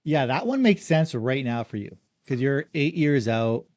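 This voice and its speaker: Casting: male podcast host, mellow tone, dry close sound, brisk and chatty. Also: medium pitch, animated, no echo. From Canada. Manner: upbeat, quick, leans on "like" and "uh". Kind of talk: casual interview.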